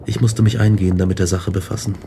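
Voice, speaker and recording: An actor playing a tormented, guilt-ridden young scientist in a German radio drama, grave and intense. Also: male voice, low voice, level tone, fast talker, background noise. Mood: earnest, driven. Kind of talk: German radio drama acting, narration and dialogue.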